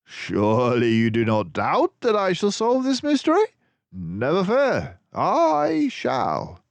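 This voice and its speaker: A man voicing a brisk, confident, jaunty gentleman detective mouse from a children's storybook, with theatrical self-assurance. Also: a little high, wildly swooping pitch, vocal fry, no room sound, voice pushed up, heavily acted. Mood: confident, reassuring.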